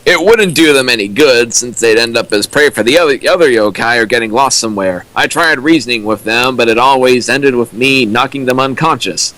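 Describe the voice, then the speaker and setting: Young man, dry mockery, even mid voice, comedic fanfic reading. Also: mid-range voice, a little fast, few pauses, poor audio. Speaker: co-riffer. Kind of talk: comedic dramatic reading and riffing.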